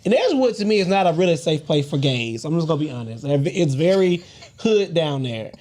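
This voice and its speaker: A man with a light voice, co-hosting a podcast and telling stories in a sassy, animated way, laughing often and swearing freely. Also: on the high side, a little fast, slight background noise. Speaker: podcast co-host. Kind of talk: casual group chat, storytelling.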